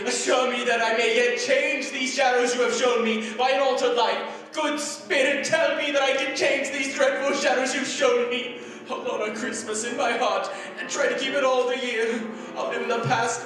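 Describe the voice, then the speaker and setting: An actor playing an old miser who begs a ghost and then rejoices, in a 1940s-style radio drama. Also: male voice, very high-pitched, fairly even intonation, moderate pace, over music. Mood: desperate. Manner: pleading and theatrical. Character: old male miser, remorseful then overjoyed. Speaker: Scrooge. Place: theater stage. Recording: echoey stage from far off.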